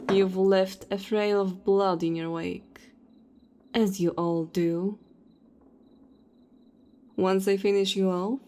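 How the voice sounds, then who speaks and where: A woman's voice: medium pitch, a little mellow. A woman playing a cold, self-righteous vampire hunter in an audio roleplay, taunting and contemptuous. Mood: cold contempt.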